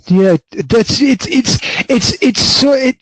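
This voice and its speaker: A man's voice: very high-pitched, slow, no echo, poor audio. A man in his forties hosting a casual interview, talking in bursts with many repeated words and restarts. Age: 40s. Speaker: podcast host.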